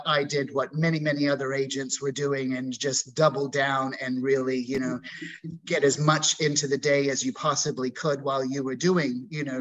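A man's voice: medium pitch, a bit bright, poor audio. A man in his forties from Bermuda, a real estate agent talking as a guest on a video call interview, upbeat and effusive with many fillers. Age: 40s. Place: indoor room at home. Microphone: video call webcam.

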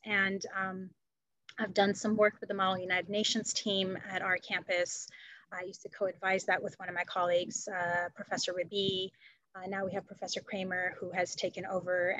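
Middle-aged woman panelist, even, steady delivery, dry close sound, headset on a video call. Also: medium pitch, no room sound, poor audio. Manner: conversational, with frequent fillers and light laughs. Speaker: panelist, political science department chair. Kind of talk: academic panel conversation. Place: home room.

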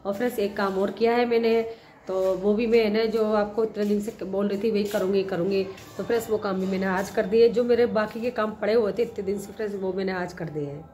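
A middle-aged woman hosting a gardening vlog, hoarse from a cold and chatty, talking to viewers on a phone held at arm's length on her rooftop terrace. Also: medium pitch, fairly even intonation, brisk, dark tone. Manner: chatty, repeats "friends" often. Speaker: gardening channel host. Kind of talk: casual vlog talk to viewers. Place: home rooftop terrace with plants, outdoors.

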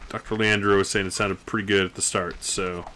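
A man with a very low, mumbling voice, casually thinking aloud while he streams a game from his room. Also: a little fast, noisy background. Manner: thinking aloud, relaxed and rambling. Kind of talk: casual gameplay commentary. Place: home room at a desk. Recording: game music playing clearly beneath. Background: game music.

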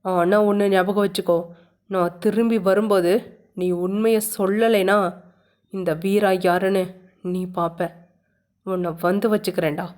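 A woman voicing Veera, a furious, menacing young landlord man who shouts threats, with a mellow voice. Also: medium pitch, steady tone, measured pace, pauses often, natural voice.

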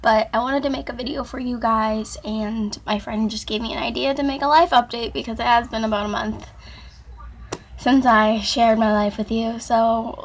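Hoarse young woman with a sore throat. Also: a little high, fairly bright tone, a little hiss or hum, rough recording. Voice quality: gravelly. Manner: rambling, apologetic, many ums. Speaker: vlogger. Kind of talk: casual chat to camera. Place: small dark bedroom. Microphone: webcam at arm's length.

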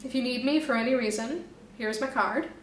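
A woman's voice: a little high, a little fast, echoey room, slight background noise, lightly acted. A woman voicing an earnest, suspicious male snake police officer in a storybook reading. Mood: stern.

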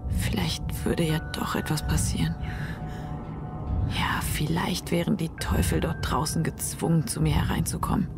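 An actress playing a desperate, determined captive woman, narrating a thriller radio drama in a hushed, breathy, intense voice. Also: low voice. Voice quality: breathy, often near whisper. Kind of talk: first-person thriller radio drama monologue. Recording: music under the voice.

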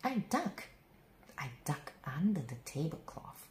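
A woman reading a children's bedtime story aloud, with expressive, dramatic phrasing and drawn-out words. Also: sits low. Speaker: picture book reader. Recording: roomy echo, faint background noise.

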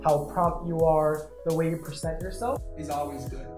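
A man's voice: fairly high pitch, fairly even intonation, moderate pace, over music. A young man in his twenties from Peru, a startup founder answering interview questions earnestly and listing his points one by one, on a lapel mic in an office. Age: 20s. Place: glass-walled open office, indoors. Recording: roomy office echo.

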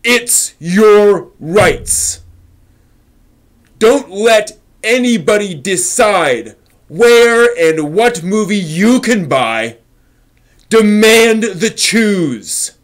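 A middle-aged man giving a theatrical dramatic reading, with impassioned, overwrought emphasis and drawn-out words. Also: high-pitched, expressive. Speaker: host reading an old forum post aloud. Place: small home room with shelves, indoors.

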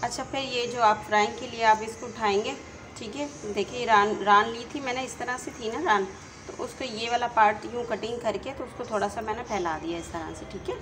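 A woman with a Pakistani Urdu accent hosting a casual home cooking tutorial, talking chattily and tagging each step with a quick check-in. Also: on the high side, background noise. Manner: chatty, repeats "theek hai" after each step. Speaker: home cooking channel host.

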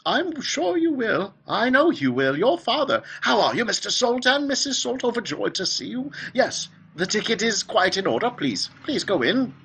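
An eccentric, clever old gentleman from a children's storybook, bubbles over with delight. The voice is high, fluty and bright, leaping about in pitch. He gushes and repeats himself as he welcomes his guests. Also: big pitch swings, moderate pace, gravelly, hardly any pauses, slightly rough, full character voice, poor audio. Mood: gushing delight. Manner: gushing, exclamatory, repeating himself. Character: excitable, clever old male factory owner, effusively welcoming.

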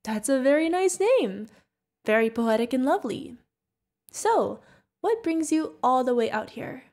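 Woman acting as friendly female alien bartender, slightly high voice, sweet and curious, chatty ASMR roleplay. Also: sing-song, unhurried, a little mellow. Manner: sweet, chatty, speaking directly to the listener.

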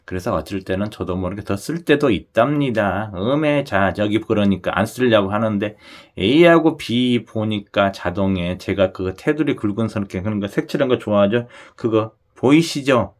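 A man's voice: on the low side, expressive, moderate pace, few pauses. Guy with a regional accent hosting his channel, talks casual, keeps asking viewers to agree. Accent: Korean regional dialect. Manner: chatty, repeats "그죠?" to the audience. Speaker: lottery channel host.

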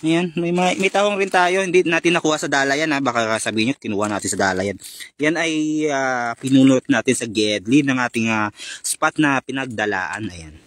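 Isang binatang nagla-live stream habang nangingisda sa gabi, madaldal at bumabati sa mga manonood sa pangalan. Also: male voice, mid-range voice, moderate pace, a bit bright, no room sound. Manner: chatty, greets viewers by name, laughs often. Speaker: fishing vlogger doing a live stream. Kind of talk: casual live-stream commentary. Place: concrete seawall at night, outdoors.

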